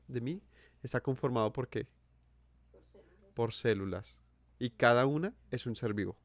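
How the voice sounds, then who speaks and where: A man's voice: medium pitch, no room sound. A Colombian man with a Colombian accent giving an earnest sermon, asking his listeners questions and repeating phrases for emphasis. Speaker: preacher. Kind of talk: sermon teaching.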